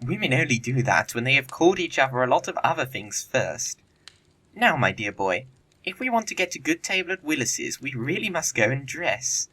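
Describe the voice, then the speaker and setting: Guy doing a teasing young dandy, arch and witty, bright voice that swings up and down, audio play. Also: medium pitch, big pitch swings, normal speed, breathy edge, full character voice. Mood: flippant. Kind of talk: theatrical comedy of manners audio play.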